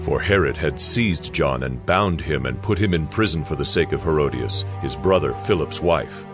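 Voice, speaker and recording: A man narrating scripture in a solemn, measured, scripted style for a dramatized audio Bible. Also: very deep voice. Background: music.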